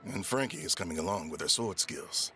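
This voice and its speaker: A man playing a loyal, soft-hearted pirate quartermaster in an audio drama, persuasive and respectfully teasing. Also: low voice, expressive, brisk, soft background music. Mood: earnest, persuasive. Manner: persuasive, deferential yet teasing.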